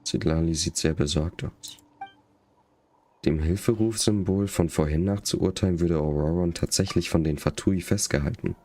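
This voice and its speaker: A man's voice: low voice, moderate pace, warm tone. A man doing casual live gaming commentary, chatting to viewers and reading game text aloud. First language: German. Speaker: game livestreamer.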